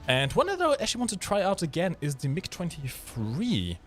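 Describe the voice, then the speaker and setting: A man's voice: fairly high pitch, wildly swooping pitch, brisk, soft background music. A man from Germany with a German accent, hosting a game livestream with casual, explanatory commentary and jokey chat.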